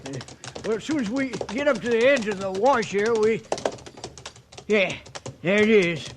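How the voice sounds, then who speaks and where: On a noisy old radio broadcast, a watchful American cowhand acted by a man talks in a high, folksy voice. The style is 1950s western drama. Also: moderate pace, natural voice. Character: watchful, suspicious cowhand sidekick.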